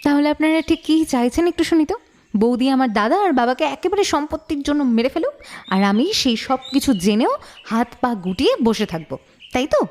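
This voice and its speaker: High-voiced, irritated, sharp-tongued wife. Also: female voice, very animated, brisk. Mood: accusing, scornful. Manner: curt, scornful, confrontational. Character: irritated, sharp-tongued married woman, suspicious and accusing. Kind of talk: Bengali audio detective drama acting.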